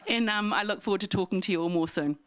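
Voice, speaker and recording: Smooth-voiced woman giving a resort update. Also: mid-range voice, fast. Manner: chatty, self-deprecating, with fillers. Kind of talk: community breakfast talk. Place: community meeting room.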